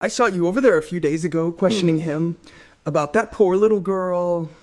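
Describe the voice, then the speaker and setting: An actress playing a spiteful, gossipy middle-aged wife in a crime radio play, scornful and sarcastic. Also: female voice, on the low side, brisk, darkish tone, natural voice. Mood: scornful, accusing.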